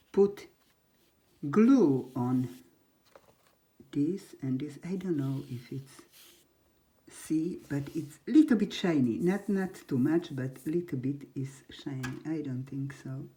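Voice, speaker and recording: Very low, slow-speaking older woman. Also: expressive, warm tone. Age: 70s. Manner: halting non-native English with simple grammar, chatty and unhurried. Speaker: crafting channel host. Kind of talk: scrapbook craft tutorial, showing an album.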